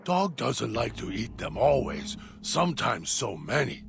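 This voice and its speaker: A man doing a gravelly deranged dog-creature. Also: medium pitch, sing-song, background noise. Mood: eerie. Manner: broken third-person speech, halting. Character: deranged feral dog-creature, male, hungry. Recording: music bed underneath.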